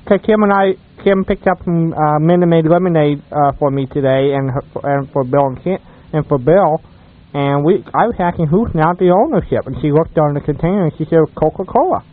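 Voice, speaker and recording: A man hosting a casual radio chat about old-time radio, speaking haltingly with repeated words and restarts. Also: a little high. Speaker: radio show host. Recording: tinny, narrow phone-like radio line.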